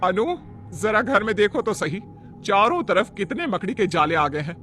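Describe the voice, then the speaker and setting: Man voicing a stern, high-pitched older mother-in-law. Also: animated, moderate pace, bright, forceful tone, soft background music. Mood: indignant. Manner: scolding, self-important. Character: stern, fitness-proud working mother-in-law, older woman. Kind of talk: cartoon moral story acting.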